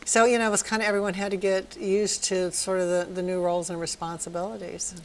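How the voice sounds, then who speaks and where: A woman from Northern Virginia working as a city manager, giving articulate, measured answers in a TV interview with occasional ums. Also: medium pitch, flat delivery. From Northern Virginia, USA. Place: TV studio.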